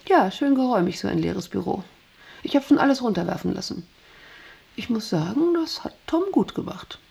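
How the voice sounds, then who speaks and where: An actress playing a proud, volatile factory boss in a radio drama, imperious and intense, obsessed with power. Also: female voice, mid-range voice, lively intonation, moderate pace, gravelly, breathy edge, exaggerated voice. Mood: exhilarated. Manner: imperious, intense, swinging between hushed and vehement. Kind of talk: German radio drama acting.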